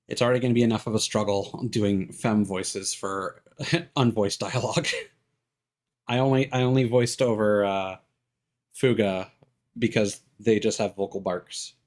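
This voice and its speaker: A man's voice: on the low side, darkish tone. A man doing casual, rambling livestream commentary as he plays a game, with wry asides. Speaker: game streamer. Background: game music.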